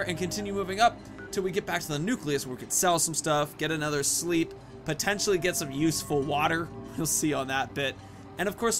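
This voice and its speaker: A man giving casual let's play commentary as a gaming host, rambling and thinking aloud. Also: a little high.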